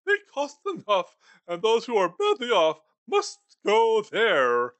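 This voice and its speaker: A man's voice: sits high, wildly swooping pitch, takes it slowly, fairly bright tone, dry recording, heavily acted. A man voicing a bitter, miserly old male moneylender in a storybook reading, scornful and sarcastic. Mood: coldly dismissive.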